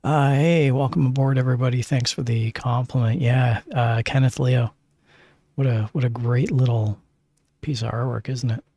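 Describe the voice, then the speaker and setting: An older man with a gravelly, husky voice, talking slowly and easily. He chats on a livestream, gushing and dropping fillers as he rambles. The sound is close and dry. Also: medium pitch, fairly even intonation, mellow, no echo. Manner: chatty, gushing, peppered with fillers. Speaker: streamer. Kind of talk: casual livestream chat.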